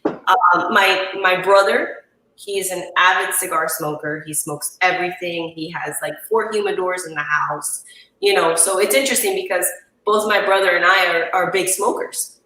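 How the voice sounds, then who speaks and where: Bright-voiced middle-aged woman in echoey room. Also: on the low side, fairly even intonation, normal speed. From Chile, grew up in Miami. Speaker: guest on a cigar show. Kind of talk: casual chat, personal storytelling. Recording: roomy echo, distant from mic.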